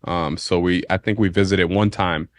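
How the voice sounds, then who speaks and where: Laid-back low-voiced young man, dry. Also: steady tone, normal speed, dry recording. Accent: American. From St. Maarten. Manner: laid-back, rambling, lots of fillers. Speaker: DJ, interview guest. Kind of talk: casual interview conversation.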